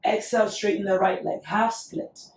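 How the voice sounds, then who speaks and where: A woman teaching a yoga class, calmly cueing breath and poses, her voice still recovering after being lost. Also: medium pitch, normal speed, slight background noise. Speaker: yoga instructor. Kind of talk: guided yoga class instruction. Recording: echoey room, voice from a distance.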